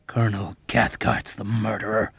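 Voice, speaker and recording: A man voicing a belligerent, desperate young airman in an audiobook novel, with a thick, hoarse voice and an agitated, pleading, shouting manner. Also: fairly low pitch, flat delivery, moderate pace, no room sound, heavily acted. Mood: complaining.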